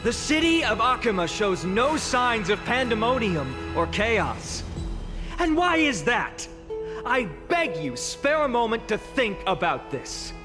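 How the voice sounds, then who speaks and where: A man's voice: extremely high pitch, big pitch swings, unhurried, music in the background, heavily acted. An actor voicing an earnest young male hero who gives a solemn, impassioned speech to a city's people in a game cutscene. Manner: solemn, impassioned public address.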